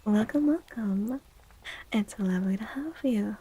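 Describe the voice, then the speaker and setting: A woman hosting an ASMR livestream in a soft, breathy voice that often slips into whispers. She speaks slowly and with a mellow tone. Her manner is gentle, playful and cutesy, as she chats affectionately to her listeners. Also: mid-range voice. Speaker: livestream host.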